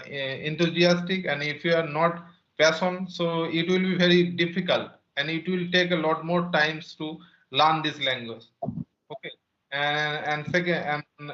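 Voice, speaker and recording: A man's voice: fairly high pitch, fairly bright tone, reverberant, dull audio. A man hosting an interview, giving earnest, repetitive advice with many fillers and restarts. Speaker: host, English teacher.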